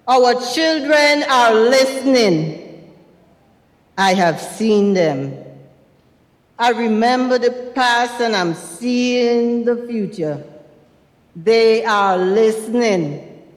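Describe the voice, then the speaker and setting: A woman playing a wronged, defiant Grenadian mother in a theatrical reading, speaking deliberately and repeating phrases like an incantation. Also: fairly high pitch. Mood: prophetic, resolute. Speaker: reader in a dramatized stage reading. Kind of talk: slow theatrical dramatic reading. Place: university recital hall stage. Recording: long hall reverb.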